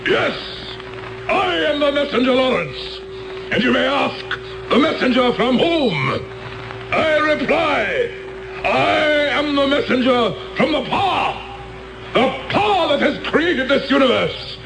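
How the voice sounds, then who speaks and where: A man's voice: very animated, a little slow, gravelly, roomy sound, background noise, heavily acted. An actor playing a charismatic, domineering male cult preacher who gives commanding speeches in a 1970s radio drama. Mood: grandiose. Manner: booming, commanding oratory.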